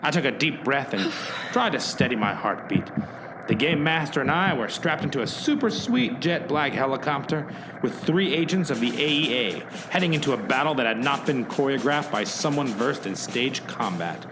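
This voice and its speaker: A man's voice: mid-range voice, lively intonation, normal speed, background noise, lightly acted. A man playing an earnest, overwrought hero who narrates a radio play. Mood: solemn, earnest. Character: earnest, dramatic young hero and narrator, easily exasperated.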